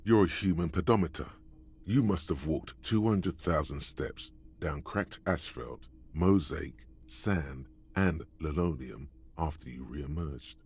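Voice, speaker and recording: A game's inner narrator with a very deep, husky voice. He sounds wry and goading as he needles the player with close, confiding remarks. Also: slow pace, a little mellow, full character voice. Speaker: game narrator voice. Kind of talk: scripted game narration.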